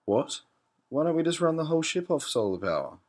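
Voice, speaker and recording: A man's voice: fairly high pitch, sing-song, brisk, a little mellow. A man playing a naive, anxious and questioning spaceship captain in an educational cartoon. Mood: alarmed, puzzled. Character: clueless, anxious male spaceship captain, curious learner. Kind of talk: scripted animated educational dialogue.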